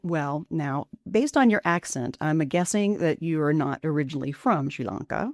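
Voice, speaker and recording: A woman hosting a podcast, introducing her guest in a gentle, reflective way and then chatting with her. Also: deep voice, animated, moderate pace, dry recording, studio quality. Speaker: podcast host.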